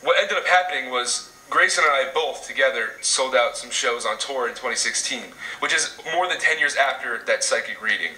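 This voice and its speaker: A young man chatting casually as a vlogger, excitable and rambling, nervous about what's coming. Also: medium pitch, roomy sound, recorded from a distance, low-quality recording. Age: young adult. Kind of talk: casual chat.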